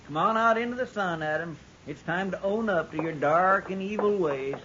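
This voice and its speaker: An actor playing a folksy, deferential frontier deputy with country speech and a husky voice in a 1950s radio western. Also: male voice, sits high, moderate pace, background noise, natural voice. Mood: chatty, teasing. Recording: muffled old radio recording.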